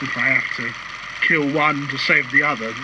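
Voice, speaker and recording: A man's voice: mid-range voice, steady tone, moderate pace, natural voice. Man as a grave man, muffled recording. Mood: grave resolve. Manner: grave, earnest. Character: conflicted, resolute working man recording a confession. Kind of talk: audio drama monologue. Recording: muffled lo-fi recording, loud engine-like noise.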